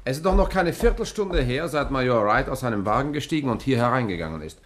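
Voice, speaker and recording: Animated, inquisitive male journalist played by an actor. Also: mid-range voice, quick pace, lightly acted. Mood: puzzled, reasoning. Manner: animated, questioning, earnest. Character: eager, inquisitive male journalist tagging along with police. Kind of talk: 1960s German radio crime drama acting. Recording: faintly noisy 1960s radio drama recording.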